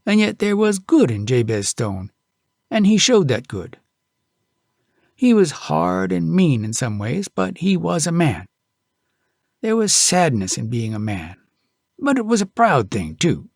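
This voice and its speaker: A man narrating a tall tale as an audiobook, in a folksy, expressive storytelling manner. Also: on the high side, unhurried, creaky, pauses often, no room sound, studio quality.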